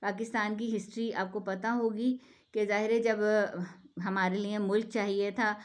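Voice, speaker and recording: A woman's voice: mid-range voice, little pitch movement, moderate pace. A woman from Pakistan giving an earnest explanatory talk, often asking rhetorical questions and checking in with "theek hai". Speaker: speaker giving a talk on the national language.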